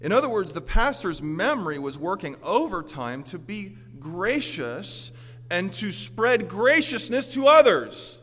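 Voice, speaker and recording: An American man delivers a sermon in a reverberant hall. His voice sits a bit high for a man, and he speaks as a pastor, earnest and emphatic with his congregation. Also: very animated, unhurried, breathy edge. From Orlando, Florida, United States. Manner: earnest, emphatic, swinging from forceful declarations to quieter storytelling.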